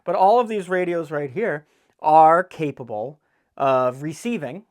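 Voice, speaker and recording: A man's voice: fairly high pitch, a little slow. Irreverent, blunt man hosting a vlog. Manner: irreverent, blunt, sweary, joking; folksy, addresses viewers directly. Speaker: host of a boating DIY video channel. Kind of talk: casual instructional vlog. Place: indoors in an office.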